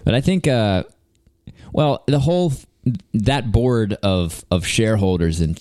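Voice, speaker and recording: Casual co-host, man, very low smooth voice, dry close sound, laid-back and joking. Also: measured pace, warm tone, no echo. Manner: laid-back, joking, rambling with many fillers. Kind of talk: casual chat.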